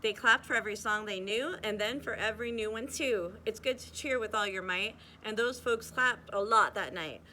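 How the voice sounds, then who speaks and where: A young woman reading a rhyming picture book aloud at a steady, rhythmic pace, now and then stumbling and restarting a word. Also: mid-range voice, moderate pace, few pauses, a little hiss or hum, poor audio. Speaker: reader.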